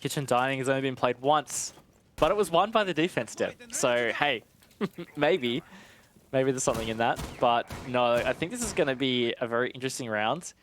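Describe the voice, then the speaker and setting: A man's voice: mid-range voice, lively intonation, normal speed, fairly bright tone, slight background noise. A man giving conversational, analytical live commentary on an esports match.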